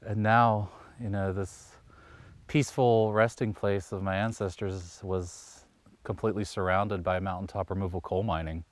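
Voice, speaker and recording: Deep-voiced man from West Virginia being interviewed. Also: little pitch movement, normal speed, no echo. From West Virginia, United States. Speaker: local resident interviewee. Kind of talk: documentary interview. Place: outdoors in woods.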